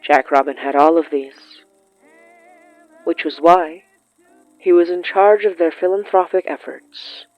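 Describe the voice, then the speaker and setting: A narrator reading scripted horror fiction in a deliberate, literary way with dramatic pauses. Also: medium pitch, steady tone, no echo, muffled recording, faint music behind.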